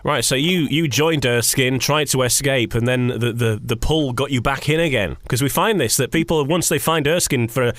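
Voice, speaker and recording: A man's voice: medium pitch, normal speed, hardly any pauses, a bit bright, no echo. A man hosting a conversational radio interview, wording things in a rambling way and repeating words as he goes.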